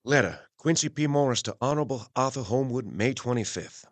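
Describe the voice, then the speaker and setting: An actor playing a hearty, gallant young gentleman adventurer, warm and earnest, reading in an audio drama. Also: medium pitch, lively intonation, a little fast, no room sound, close to an everyday voice. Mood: hearty, affectionate.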